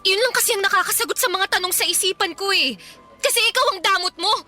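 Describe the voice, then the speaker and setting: A woman's voice: extremely high pitch, fast, bright, forceful tone, heavily acted. An actress playing a clingy, astrology-obsessed young jilted girlfriend in a Filipino radio drama, pleading and insistent. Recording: faint music behind the voice.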